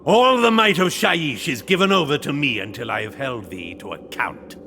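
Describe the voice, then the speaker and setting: Undead knight, stern, vengeful, sharp gravelly voice, archaic accusations, animated, fantasy game, faint music. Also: male voice, medium pitch, moderate pace. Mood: accusing.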